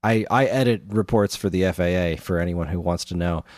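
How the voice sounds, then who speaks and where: A man's voice: low voice, fairly quick, a little mellow, no room sound, studio quality. A middle-aged man co-hosting a podcast in casual conversation, with a wry, joking way of talking. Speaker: podcast co-host. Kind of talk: casual chat.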